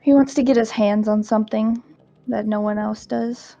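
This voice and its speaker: A woman's voice: a little high, steady tone, normal speed, dark tone, faint music behind, lightly acted. A woman voicing a young female vampire club owner in a tabletop game, speaking wryly with dry quips. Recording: dull lo-fi sound.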